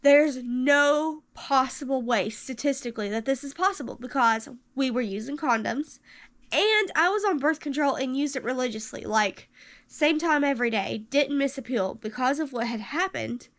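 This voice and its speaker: A young woman in her twenties telling a personal story to camera, animated, rambling with fillers and jumping up in pitch for quoted lines. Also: normal speed. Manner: rambling with many fillers and restarts. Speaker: vlogger.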